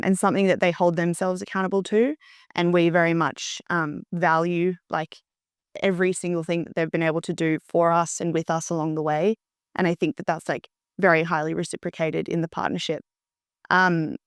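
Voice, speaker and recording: A woman's voice: on the low side, clear, resonant tone, dry recording, clean studio sound. A woman in her thirties answering conversationally as a panel guest, peppering her speech with "like". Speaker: panel guest, tech company partner representative. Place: indoor studio, seated on a sofa. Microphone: clip-on lapel.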